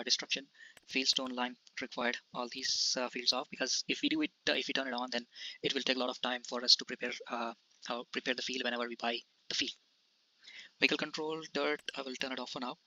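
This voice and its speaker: A man's voice: mid-range voice, bright, forceful tone, lo-fi sound, slight background noise. A man from India hosting his gaming channel, talking through a farming game casually with many hesitations and restarts. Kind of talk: casual gameplay commentary.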